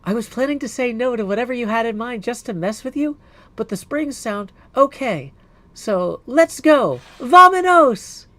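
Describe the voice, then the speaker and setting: High voice, man acting as young female ghost, laid-back, cheeky, flippant, video game character. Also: lively intonation, moderate pace, bright, forceful tone, exaggerated voice. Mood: playful, excited. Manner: casual, flippant, exclamatory.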